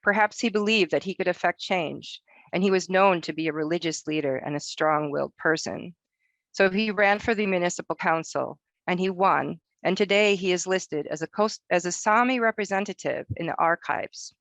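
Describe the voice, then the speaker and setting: A woman from Northern Norway, a researcher giving a prepared academic presentation, reading her text in a measured way. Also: on the low side, a bit bright, dry recording.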